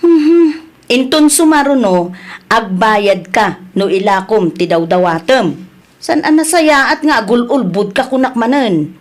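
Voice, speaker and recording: A woman's voice: a little high, expressive, unhurried. An actress playing an exasperated village wife, chiding and exclaiming at her shameless man in an Ilocano comedy radio drama.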